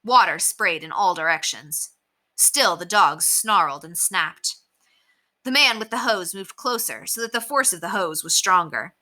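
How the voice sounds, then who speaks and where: A woman, a teacher, reading a children's chapter book aloud with expressive storytelling. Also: lowish voice, a little slow. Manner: expressive storytelling with softer narration.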